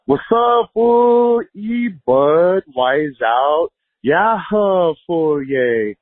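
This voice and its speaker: A man with a Denver North Side accent leaving a hyped, slangy voicemail shout-out. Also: high voice, lively intonation, drawn-out words, no echo. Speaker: listener voicemail caller. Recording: tinny phone voicemail.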